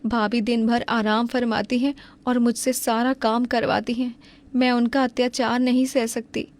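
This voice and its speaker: A woman as a high-voiced, aggrieved young wife. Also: level tone, measured pace, lightly acted. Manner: earnest, emphatic. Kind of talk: Hindi cartoon story acting.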